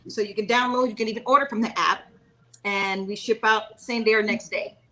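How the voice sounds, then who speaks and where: Woman panelist, bright and forceful tone, polite, matter-of-fact, video call. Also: mid-range voice, fairly even intonation, a little fast, rough recording. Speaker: panelist, skincare and edibles maker. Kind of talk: casual panel talk.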